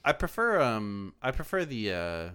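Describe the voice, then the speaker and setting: Man, podcast host, casual chat, rambling, fillers and restarts, mid-pitched voice. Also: sing-song, measured pace, sharp tone, smooth. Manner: casual swearing. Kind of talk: casual chat, reading listener emails.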